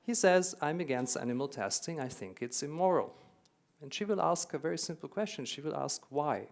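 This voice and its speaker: A man's voice: fairly high pitch, animated, brisk, creaky. A man from Hungary who grew up in Germany, speaking with a German accent as he gives a conference keynote in a hall, earnest and explanatory, repeating words for emphasis. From Hungary, raised in Germany.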